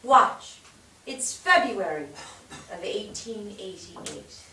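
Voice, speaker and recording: A woman's voice: mid-range voice, lively intonation, slow pace, heavy background noise. A woman playing a stern judge of the afterlife in a staged period drama, probing and reproaching a dead man. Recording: echoey theatre, distant microphone.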